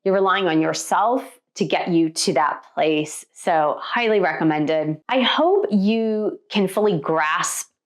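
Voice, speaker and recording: A woman's voice: lowish voice, slow pace, few pauses. An American woman speaking as a spiritual teacher in an emphatic, conversational way, addressing her viewers directly with motivational guidance. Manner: emphatic, conversational, with rhetorical check-in questions. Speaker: spiritual teacher and meditation coach.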